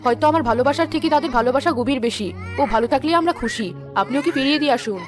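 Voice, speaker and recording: A woman voicing a gentle, grateful queen in a cartoon. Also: high voice, racing, natural voice. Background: background music.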